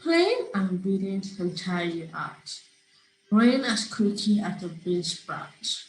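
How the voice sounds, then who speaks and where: A young woman from Nigeria, a public health student, reads a rhyming picture book aloud in a steady, friendly voice. Her pitch sits in the middle range. The video-call audio sounds roomy, with a faint hiss underneath. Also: slow pace.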